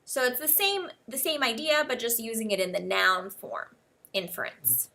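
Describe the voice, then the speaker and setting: An American woman teaching English one-on-one, explaining words slowly and spelling them out letter by letter. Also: on the high side, lively intonation, gravelly, sharp tone.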